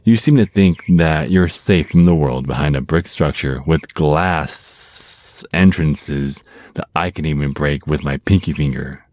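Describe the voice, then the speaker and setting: An extremely low-voiced, flirty vampire naga villain, acted by a man, speaks in an intimate ASMR roleplay. He is ancient, possessive and taunting, drawing out his menace at an unhurried pace. The sound is close and dry. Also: darkish tone, no room sound. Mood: smug, taunting. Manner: slow teasing menace, pet names, breathy pauses.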